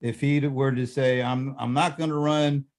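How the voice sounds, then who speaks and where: A man's voice: medium pitch, fairly even intonation, moderate pace, a little mellow. Man, hesitant, trails off, panel discussion.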